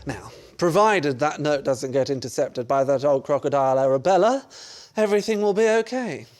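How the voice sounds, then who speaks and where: A man plays an exasperated husband in a radio farce, his voice high for a man and swinging widely. He blusters theatrically, always scheming. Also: lively intonation, moderate pace, a little mellow, lightly acted. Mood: confident, scheming. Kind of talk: radio play acting.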